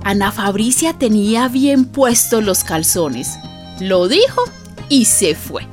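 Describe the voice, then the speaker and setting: An earthy Colombian woman storyteller, narrating a radio play in a confiding, colloquial way. She takes her time over each phrase, with soft music underneath. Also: mid-range voice, very animated, slow pace. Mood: confiding, wry. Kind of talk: conversational radio drama narration.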